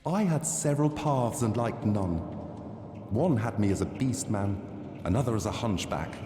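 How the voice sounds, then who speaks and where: Bitter, weary fatalist played by a man, quiet game character brooding on his fate in a long reverberant hall. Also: on the low side, animated, moderate pace, lightly acted. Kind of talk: video game dialogue.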